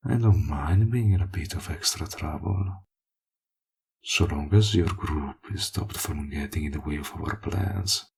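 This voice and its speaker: A man playing a smug, possessive villain in an audio roleplay, flirtatious and menacing, with a husky, breathy voice and a slow, teasing delivery that dips toward whispers. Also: rumbling low, slight background noise, full character voice. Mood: cool menace. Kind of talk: roleplay audio acting, intimate monologue.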